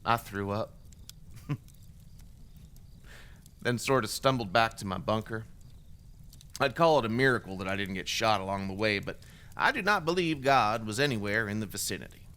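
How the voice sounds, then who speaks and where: A man's voice: on the low side, normal speed, pauses often, pushed and bright, clear, resonant tone. An actor playing a remorseful, bitter former extremist gunman giving testimony in an audio drama, in a blunt, wry, profane conversational way. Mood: haunted, broken.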